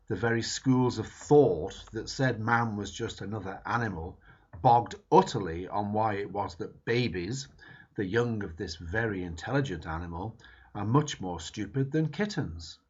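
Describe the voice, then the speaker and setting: A man reading a book foreword aloud in a slow, emphatic, dramatic way. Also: lowish voice, a little mellow, husky. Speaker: reader. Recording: dull lo-fi recording.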